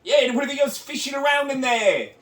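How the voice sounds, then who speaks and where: Man playing a crude British lad with a put-on accent: lively, recorded far off in a roomy space. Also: extremely high pitch, quick pace. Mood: rowdy. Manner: rowdy, crude, mocking. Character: crude rowdy British bloke, adult man. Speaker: podcast co-host. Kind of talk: improvised comedy bit.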